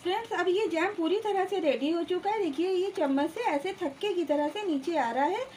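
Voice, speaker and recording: A woman's voice: very high voice, normal speed, darkish tone, slight background noise. A woman hosting a cooking channel, explaining each step of a home recipe plainly and calling her viewers friends. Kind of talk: step-by-step cooking demonstration voice-over. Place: home kitchen.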